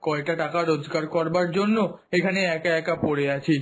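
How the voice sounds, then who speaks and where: A man voicing a homesick, hardworking son in a cartoon drama, earnest and complaining, with a husky voice. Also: fairly high pitch, steady tone, moderate pace, soft background music, close to an everyday voice. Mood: weary, homesick. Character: homesick, hardworking son working far from home. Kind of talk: Bengali cartoon drama dubbing.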